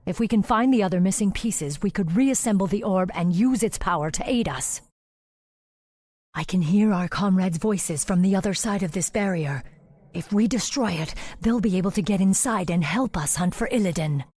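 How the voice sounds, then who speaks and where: A woman playing a stern, determined elf warden in a video game, grave and commanding, with a breathy, husky voice. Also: mid-range voice, moderate pace, a little mellow, no room sound, studio quality, lightly acted. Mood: grave, pondering. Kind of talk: video game fantasy acting.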